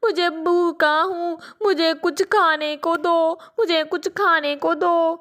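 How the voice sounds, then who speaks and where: A woman's voice: extremely high pitch, slow pace, sharp tone, pitched above the performer's own voice, exaggerated voice. A woman voicing a cunning little male crow chick who whines and pleads for food. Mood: whiny. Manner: whiny, pleading.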